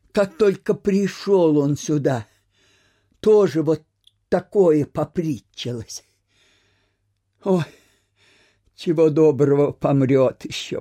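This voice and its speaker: An actress playing a fearful, superstitious peasant servant woman in a Soviet radio play, sighing and lamenting in folksy speech with a husky, creaky voice. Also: low voice, dark tone, full character voice.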